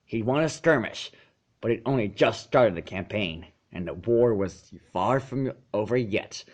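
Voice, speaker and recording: A man reading a story aloud as narrator, expressive, with dramatic, deliberate emphasis. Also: lowish voice. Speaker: fan fiction narrator.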